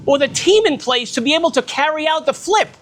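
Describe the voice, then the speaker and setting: Loud, very high-voiced man speaking. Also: lively intonation, fairly quick, slight background noise. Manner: talks loudly without a microphone, casual and blunt with swearing. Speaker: guest speaker on private lending. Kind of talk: talk at an investor meetup. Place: restaurant event space in the rain.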